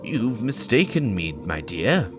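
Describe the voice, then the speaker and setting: Frail old man, secretly a rogue, reedy and slow played by a male reader, music beneath. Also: fairly low pitch, very animated. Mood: feigned confusion. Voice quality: reedy, quavering. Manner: slow, lilting.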